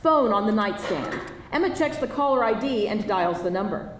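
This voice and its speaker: A woman's voice: mid-range voice, expressive, normal speed. A woman narrator reading screenplay stage directions aloud at a live table read. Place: indoor live table read before an audience. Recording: distant mic, echoey room, faint noise.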